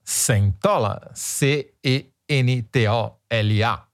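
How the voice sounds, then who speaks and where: Brazilian guy co-hosting, reads listener notes, wry and measured. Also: medium pitch. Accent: Brazilian Portuguese. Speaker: podcast co-host.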